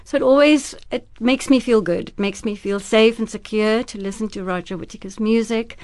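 A woman's voice: a little high, normal speed, a little mellow, no room sound. Afrikaans-speaking woman, dry studio voice. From South Africa. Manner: earnest, thoughtful, some restarts. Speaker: actress and producer, interview guest. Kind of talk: radio interview.

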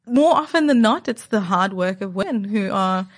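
A woman's voice: medium pitch, expressive, normal speed. A young woman from Zimbabwe, an executive answering interview questions in an articulate, enthusiastic way. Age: young adult. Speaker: business executive guest.

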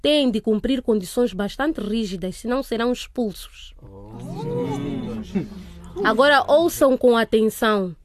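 A woman's voice: mid-range voice, no echo, clean studio sound, lightly acted. An actress playing a stern, authoritative female school principal in a radio drama, commanding and admonishing.

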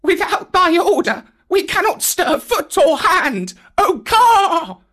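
Cowed storybook monkeys under a spell. They wail their answers in a very high, loud cry. The pitch sits far above an everyday voice, full of helpless dread. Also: sing-song, heavily acted. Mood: entranced, fearful. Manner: high wailing, drawn-out answers. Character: cowed, entranced horde of wailing monkeys.

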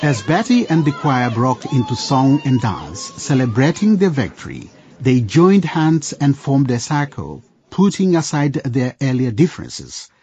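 A man's voice: mid-range voice, big pitch swings, a little slow, hardly any pauses, warm tone, a little hiss or hum. A man narrating a scripted radio drama as a storyteller, suspenseful, with playful asides to the listener. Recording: dull radio mix with music. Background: music.

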